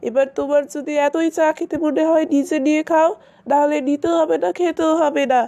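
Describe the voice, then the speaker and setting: A woman's voice: high voice, level tone, brisk, warm tone. A woman voicing a stubborn, sulking wife and mother in a cartoon family comedy, scolding in an aggrieved, dramatic way. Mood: irritated, defiant.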